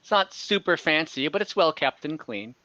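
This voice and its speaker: A man with a bright, keen-edged voice narrates as game master in a tabletop session. He speaks casually and haltingly, building the scene as he goes. The online chat audio is a little dull. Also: fairly high pitch, animated, no room sound. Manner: halting, casual, searching for words. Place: online group voice call.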